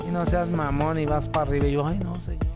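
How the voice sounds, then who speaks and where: A man's voice: a little high, level tone, rapid-fire. A man in his seventies with a Mexican accent, reminiscing casually as an interviewee in colourful, campy slang. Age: 70s. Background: music.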